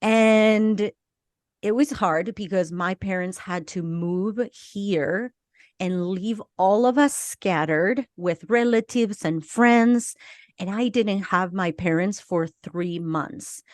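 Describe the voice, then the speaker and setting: A middle-aged woman from Argentina with a slight Argentine Spanish accent, telling her life story as a podcast guest in a slow, reflective way. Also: mid-range voice, dry recording, clean studio sound. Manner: slow, reflective, with small laughs and restarts. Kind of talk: personal life story in interview.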